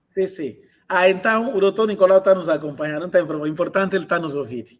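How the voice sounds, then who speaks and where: Male online language tutor, high register, translates and repeats phrases for students. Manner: repeats phrases slowly for learners. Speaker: language teacher.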